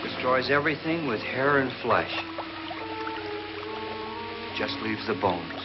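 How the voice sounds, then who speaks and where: An actor playing a haunted, morbid believer in murderous ghosts in a 1950s horror film, speaking in a grave, brooding, ominous way. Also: male voice, medium pitch, animated, slow. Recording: dull old film soundtrack, music behind.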